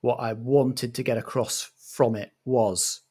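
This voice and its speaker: Pompous writer-director, played by a man, husky mid-range voice, weighty pauses, mockumentary interview. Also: mid-range voice, steady tone, a little mellow. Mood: condescending, grave. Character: pompous, self-important male TV writer-director being interviewed.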